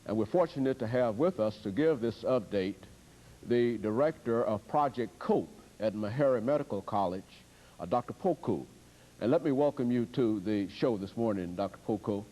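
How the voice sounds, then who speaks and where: A man's voice: medium pitch, expressive, moderate pace, warm tone. A man hosting a TV interview, asking formal, wordy questions with frequent hesitations. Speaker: TV show host. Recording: long hall reverb.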